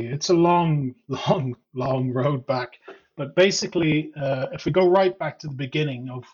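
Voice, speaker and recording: A man from Ireland, a guest in a casual interview, telling his stories slowly with many ums and uhs. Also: mid-range voice, darkish tone, husky. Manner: unhurried, many ums and uhs, reflective storytelling. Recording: dull lo-fi sound.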